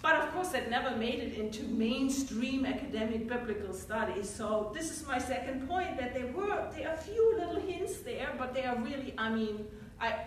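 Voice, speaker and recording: A woman's voice: high-pitched, lively intonation, moderate pace, slight background noise. German-accented woman, echoey and distant. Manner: earnest, lecturing, thinks aloud with self-corrections. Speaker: academic panelist, biblical scholar. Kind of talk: academic roundtable talk. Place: university seminar room. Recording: very echoey room from far off.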